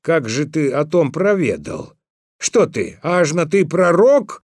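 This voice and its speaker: A simple village lad from a storybook, played by a man, cheeky yet easily cowed. He protests and pleads in folksy rhyme, pitched high and very lively. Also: slow pace, dry recording, clean studio sound, full character voice. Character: cheeky, simple young peasant lad, stubborn but easily frightened. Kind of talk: dramatized verse fairy-tale reading.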